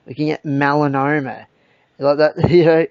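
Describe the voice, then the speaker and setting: A young Australian man with a croaky voice, hosting a casual podcast chat, rambling and swearing with lots of fillers. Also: fairly high pitch, little pitch movement, moderate pace, darkish tone. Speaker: podcast host. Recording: dull lo-fi sound.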